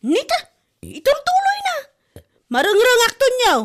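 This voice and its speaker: A woman's voice: very high voice, wildly swooping pitch, normal speed, heavily acted. A woman playing a gossipy, ailing and quarrelsome village wife with an Ilocano accent in a comedy drama podcast. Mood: heated.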